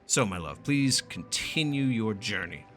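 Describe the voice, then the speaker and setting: A man's voice: fairly low pitch, sing-song, unhurried, a bit bright, faint music behind. A man streaming a game, reading its text aloud in a casual, profane way and stumbling now and then. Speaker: gaming streamer doing a playthrough.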